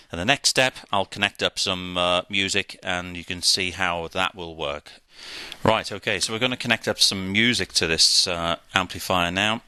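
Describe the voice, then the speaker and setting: A man's voice: deep voice, bright, forceful tone, smooth, no room sound. A man giving a methodical, step-by-step instructional equipment demonstration. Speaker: product demonstrator.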